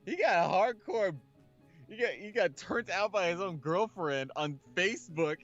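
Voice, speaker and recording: A man's voice: high-pitched, lively intonation, measured pace, fairly bright tone, dull audio, faint music behind. A man casually reading a game aloud and chatting about it with slangy, sweary reactions. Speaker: let's-play host reading a visual novel aloud. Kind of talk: casual co-host chat and narration of a game.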